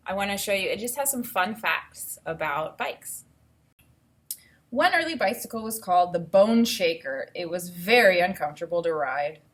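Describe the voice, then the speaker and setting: A woman teacher reading aloud to children and thinking out loud, explaining things step by step in an instructive way. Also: fairly low pitch, lively intonation, moderate pace. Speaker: elementary school teacher.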